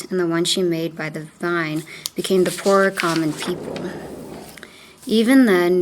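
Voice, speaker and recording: A woman reading a creation myth aloud in a careful, measured way. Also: fairly low pitch, low-quality recording. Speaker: student reader.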